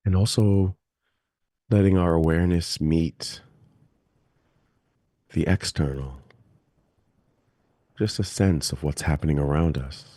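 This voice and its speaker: A man leading a guided meditation as a teacher, speaking gently and calmly with long, unhurried pauses. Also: rumbling low, no room sound, studio quality.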